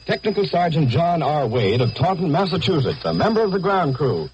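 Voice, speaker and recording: A man's voice: on the high side, big pitch swings, fairly quick, a little mellow, muffled recording. A man announcing and interviewing in a formal, scripted 1940s wartime radio style on a scratchy old broadcast. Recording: noisy, scratchy 1940s shortwave radio recording.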